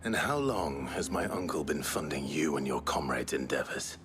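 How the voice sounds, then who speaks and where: An actor playing a brooding, resolute young nobleman in exile in a fantasy game, with a measured, formal, understated delivery. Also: a bass voice, animated. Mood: quietly resolute. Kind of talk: dramatic fantasy game acting. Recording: game music behind the dialogue.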